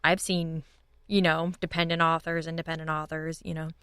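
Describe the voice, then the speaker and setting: A woman's voice: deep voice, flat delivery, fairly quick, fairly bright tone, clean tone. A woman speaking as a podcast guest in a casual, conversational style. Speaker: podcast guest, writers' room leader. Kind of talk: casual chat.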